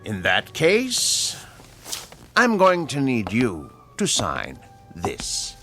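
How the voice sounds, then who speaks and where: An actor playing a mysterious male stranger who speaks in a slow, measured and formal way in a radio drama. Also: mid-range voice, huge pitch swings, pushed and bright, soft background music, full character voice. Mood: coaxing.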